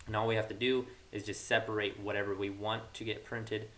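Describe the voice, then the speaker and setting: A man's voice: fairly low pitch, little pitch movement, fairly quick, fairly bright tone. A young man giving a casual coding tutorial, informally thinking aloud as he works. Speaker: programming tutorial host. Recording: hissy mic with keyboard clatter. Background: computer keyboard typing, hiss.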